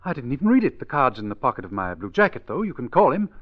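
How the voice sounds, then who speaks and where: Accused husband, animated voice. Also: male voice, mid-range voice, quick pace. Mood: defensive. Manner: defensive, emphatic. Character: accused husband, weary and increasingly desperate suspect. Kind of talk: 1940s radio drama acting. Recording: dull old radio recording.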